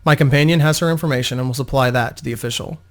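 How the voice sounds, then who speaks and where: A composed woman adventurer from a fantasy audiobook, read by a man in a medium-pitched voice. She is soothing, decisive and protective, speaking in a matter-of-fact way. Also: racing, lightly acted. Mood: businesslike. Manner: calm, reassuring, matter-of-fact. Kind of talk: dramatic fantasy story reading.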